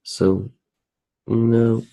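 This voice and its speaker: A man softly narrating his drawing for ASMR, hushed, unhurried and musing as he works. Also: medium pitch, little pitch movement, vocal fry, dry recording.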